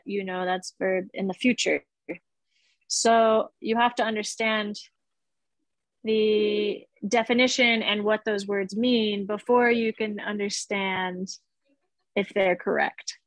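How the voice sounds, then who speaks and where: A woman's voice: medium pitch, fairly even intonation, slow, no echo, poor audio. A woman in her thirties giving a casual guest lecture on a video call through earbuds, chatty and laughing easily as she checks in with her listeners. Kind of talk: casual explanatory teaching over video call. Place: small indoor room.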